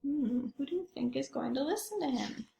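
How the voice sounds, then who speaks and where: Higher-voiced woman reading to children, echoey room. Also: animated, normal speed, vocal fry, dark tone, a little hiss or hum. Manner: addresses children directly with questions and asides. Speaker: children's librarian reading aloud. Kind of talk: read-aloud storytime for young children.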